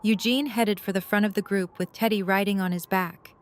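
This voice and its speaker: A woman's voice: medium pitch, fairly even intonation, brisk. A woman reading scripted story narration for an audio drama. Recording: noisy storm ambience behind.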